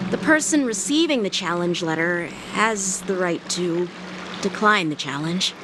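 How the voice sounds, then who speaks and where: A confident teenage boy sword master from an anime game. He speaks with formal courtesy and an animated, swinging pitch, over clear background noise. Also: measured pace. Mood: calm, formal. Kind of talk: anime-style game voice acting.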